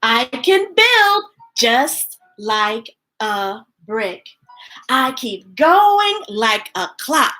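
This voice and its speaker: A woman hosting a children's story time, reading picture books aloud expressively and chatting playfully with viewers in a gushing, animated way. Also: on the high side, extremely slow.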